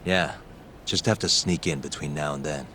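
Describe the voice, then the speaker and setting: An actor playing a cool, determined private detective in an English video game dub, with a terse, dry delivery. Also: male voice, a bass voice, fairly even intonation, normal speed, bright, forceful tone, slight background noise. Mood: calm, scheming.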